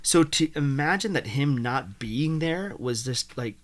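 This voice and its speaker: A young man from India, a guest telling his life story in a casual interview, animated and full of "like". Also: medium pitch, measured pace. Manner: animated, uses "like" often.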